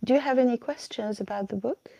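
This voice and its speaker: A woman's voice: fairly high pitch, fast talker, vocal fry, warm tone, no room sound. A woman hosting a casual live chat, a friendly and patient Arabic teacher who helps guests find their words.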